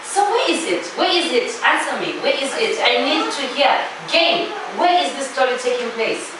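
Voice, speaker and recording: A woman's voice: high voice, lively intonation, normal speed. A woman with a Zimbabwean accent teaching a primary school class, asking questions and insistently repeating them and the answers. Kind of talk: classroom lesson with questions to pupils. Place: classroom, indoors. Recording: echoey classroom, distant mic, clear noise.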